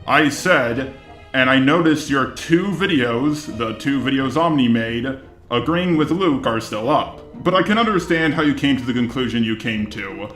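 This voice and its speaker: A man's voice: mid-range voice, animated, normal speed, a bit bright, reverberant, faint music behind. A synthetic, robotic man's voice delivering an argumentative, scripted rebuttal as a commentary video host.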